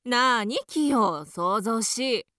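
A woman's voice: a little high, expressive, takes it slowly, heavy background noise. An actress playing an anxious, prim wife and mother with a scolding, proper way of speaking in a radio drama. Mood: stern. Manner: scolding, proper feminine diction.

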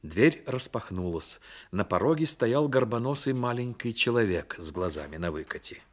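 Male narrator, radio drama. Low voice, highly expressive literary reading. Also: moderate pace.

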